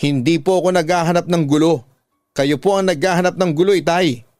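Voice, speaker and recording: Man voicing an angry, defiant Filipino daughter, raised pitch. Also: a little fast, darkish tone, no echo, clean studio sound, faint music behind, natural voice. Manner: threatening, confrontational. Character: angry, defiant grown daughter, fiercely protective of siblings.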